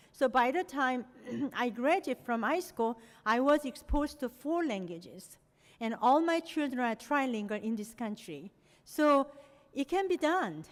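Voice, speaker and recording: A middle-aged woman from Korea, a native Korean speaker, giving an academic presentation with non-native phrasing and frequent "you know" fillers. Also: a little high. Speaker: lecturer. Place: lecture hall. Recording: long hall reverb.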